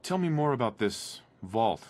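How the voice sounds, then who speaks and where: A man's voice: medium pitch, sing-song, normal speed, a little mellow. An actor playing a laid-back, dryly wry young husband and father in a video game. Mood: dry, polite. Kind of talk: natural video game acting.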